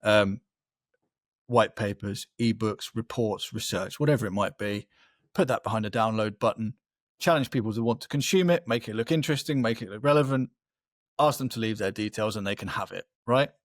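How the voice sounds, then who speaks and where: A man's voice: fairly low pitch, a little fast, no room sound, clean studio sound. A man giving a conversational, explanatory webinar talk as a marketing expert, often checking in with a short tag question.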